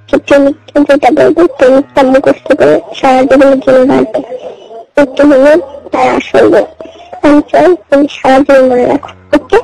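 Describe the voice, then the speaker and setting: High-pitched young Bangladeshi woman on the phone. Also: vocal fry, mellow. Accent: Bangladeshi Bengali. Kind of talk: intimate casual phone chat. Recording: phone call recording with music behind. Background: music.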